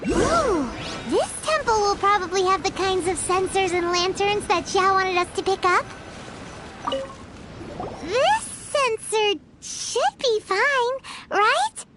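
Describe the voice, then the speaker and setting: A woman voicing a tiny, childlike, eager fairy companion in a video game, squeaky and chirpy. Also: expressive, slow, background noise, heavily acted. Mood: curious, chirpy. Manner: speaks of herself in third person, exclaiming. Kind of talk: scripted game voice acting.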